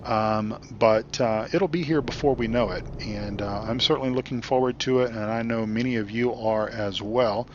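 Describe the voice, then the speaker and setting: A man hosting his gaming channel, chatting casually while he plays, rambling with many ums and uhs, his voice getting hoarse. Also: medium pitch, steady tone, normal speed, hardly any pauses, muffled recording, noisy background. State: losing his voice. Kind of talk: casual commentary while playing. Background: truck engine and road sounds.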